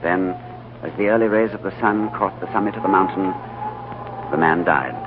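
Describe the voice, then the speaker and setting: An actor playing a calm, courteous English diplomat adventurer, in 1940s radio drama style, telling his tale with composed understatement. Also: deep voice, moderate pace, natural voice. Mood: grave, awed narrating. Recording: tinny 1940s radio broadcast, loud music.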